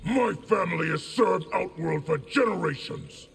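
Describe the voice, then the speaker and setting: A man playing a proud, militant war leader in a video game, forceful and defiant with a gravelly voice. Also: sits high, expressive, normal speed, exaggerated voice. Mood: defiant, resentful. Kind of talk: dramatic video game acting.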